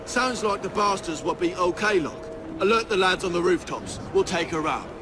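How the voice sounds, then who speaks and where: An actor playing a rough, menacing street thug in a video game, with coarse, uneducated speech. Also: male voice, high voice, lively intonation, moderate pace, over music. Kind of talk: video game cutscene acting.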